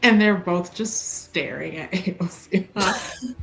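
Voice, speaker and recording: A woman with a lowish voice roleplays at a tabletop game. She is very slow and deliberate, pausing between phrases. The sound is roomy and echoing, with faint music beneath. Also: vocal fry, a bit bright. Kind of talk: narrating and speaking in character in a tabletop roleplaying game.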